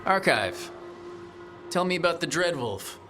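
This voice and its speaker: A man's voice: a little high, big pitch swings, unhurried, sharp tone, heavily acted. An actor playing a direct, wry young male hero in a video game, in a conversational manner. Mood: serious. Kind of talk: naturalistic video game acting. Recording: music behind the voice.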